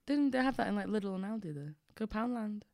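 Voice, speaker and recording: A woman co-hosting a podcast, chatting casually in a low voice with bass in it, jokey and rambling. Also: expressive, brisk, darkish tone, no echo, studio quality. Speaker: podcast co-host. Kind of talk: casual chat.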